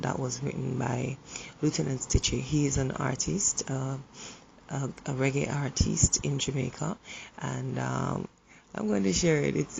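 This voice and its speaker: Hoarse woman with a heavily gravelly voice, earnest and halting, sharing gentle encouragement. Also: very deep voice, a little hiss or hum, low-quality recording. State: hoarse from the flu. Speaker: relationship speaker. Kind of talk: reflective motivational monologue.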